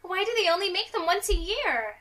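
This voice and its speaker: Very high, bright young woman, playful. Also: sing-song, fairly quick, distant mic. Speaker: let's play host. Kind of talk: reading a visual novel aloud with casual banter.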